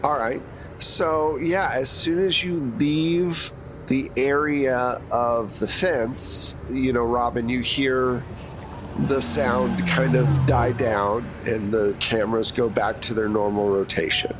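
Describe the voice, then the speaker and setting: A man's voice: medium pitch, fairly even intonation, takes it slowly. A man running a tabletop game as game master, narrating casually and loosely. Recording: noisy background hiss.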